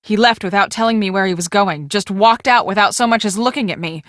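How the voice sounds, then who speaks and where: An actress playing a brisk, bossy young woman on a storm-chasing team in a video game, speaking emphatically and expressively. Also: medium pitch, fairly bright tone, no echo, clean studio sound, close to an everyday voice. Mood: troubled. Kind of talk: scripted video game dialogue.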